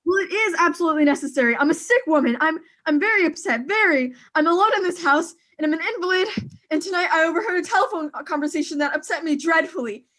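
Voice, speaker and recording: A nervous, demanding invalid wife in a 1940s-style radio thriller. Her voice is extremely high. She sounds imperious and fretful, always on the edge of breathless panic. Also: animated, fast, fairly bright tone. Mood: agitated demanding. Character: anxious, demanding invalid wife terrified alone at home.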